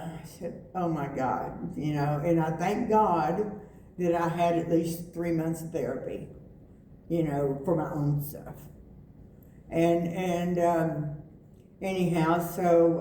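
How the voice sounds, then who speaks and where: A middle-aged woman giving a personal testimony, halting with many fillers and restarts. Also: on the low side, dark tone, a little hiss or hum. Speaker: testimony speaker. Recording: echoey room, voice from far off.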